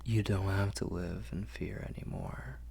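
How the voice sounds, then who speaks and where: A man playing a protective, tender vampire lord in an intimate ASMR roleplay, whispering in a breathy, husky, reassuring way. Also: fairly low pitch, steady tone, heavily acted. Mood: tender reassurance. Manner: slow, hushed, reassuring. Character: protective, tender male vampire lord soothing his human.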